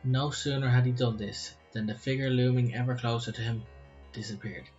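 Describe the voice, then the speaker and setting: A man's voice: medium pitch, steady tone, brisk, a little mellow. A man with an Irish accent co-hosting a podcast, telling stories and chatting casually. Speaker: podcast co-host. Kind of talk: storytelling and casual chat. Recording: slightly dull sound, music present.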